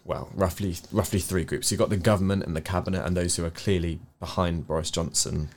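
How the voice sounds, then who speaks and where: A man's voice: deep voice, fairly even intonation, brisk, slight background noise. A man with an English accent co-hosting a news review, explaining his opinions in an earnest, conversational way with careful qualifications. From England. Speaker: co-host of a news review show. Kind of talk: conversational political discussion.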